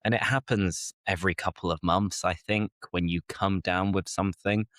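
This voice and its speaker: A man's voice: low-pitched, fairly even intonation, normal speed, fairly bright tone, no room sound, studio quality. A British man hosting an English-learning podcast, explaining idioms in an easygoing way while a little congested from a cold. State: slightly congested with a mild cold. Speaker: podcast host, English teacher. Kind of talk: casual conversational podcast.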